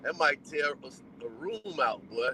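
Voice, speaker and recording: A man joining a casual radio chat who tells stories in an animated, drawling way. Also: a little high, a little slow, slight background noise, low-quality recording.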